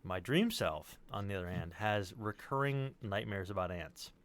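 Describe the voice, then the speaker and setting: Guy with a lowish voice, rambling personal story, casual chat, keeps fixing his own words. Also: animated, moderate pace, dry recording. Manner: rambling, self-correcting. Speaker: podcast cast member.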